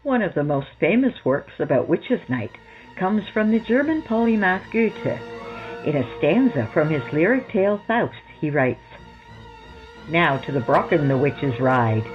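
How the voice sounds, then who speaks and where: A podcast host giving scripted horror narration in a theatrical, measured storytelling manner. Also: medium pitch, expressive. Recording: muffled lo-fi sound under music. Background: background music.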